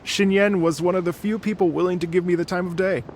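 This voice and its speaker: A man's voice: sits high, steady tone, fast talker, a little hiss or hum. A man voicing a courteous, earnest, slightly anxious music festival organiser in a video game, speaking formally. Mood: apologetic, hesitant. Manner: formal, polite, hesitant.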